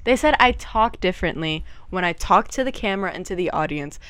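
A young woman co-hosting a casual chat podcast, expressive and quick to laugh, sometimes dropping into a deeper voice. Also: smooth, no room sound. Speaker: podcast co-host. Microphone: headphones and mic.

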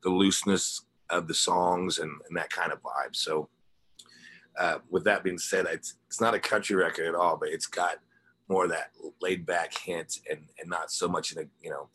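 Male musician guest, deep husky voice, laid-back and rambling in a casual interview. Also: fairly even intonation, normal speed, fairly bright tone. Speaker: musician guest, guitarist and singer in a rock band.

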